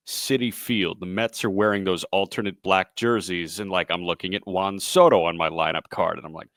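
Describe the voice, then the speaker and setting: Low-voiced young American man, dry sound. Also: fairly bright tone, no echo. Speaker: baseball play-by-play broadcaster, interview guest. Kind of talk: casual conversational interview answers.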